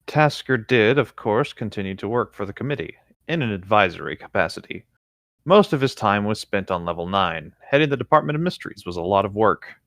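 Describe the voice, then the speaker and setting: A man's voice: on the low side, animated, brisk, a bit bright, no echo, clean studio sound. A man co-hosting a read-aloud, moving between narrating the text and chatting casually. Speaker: co-host reading fan fiction aloud.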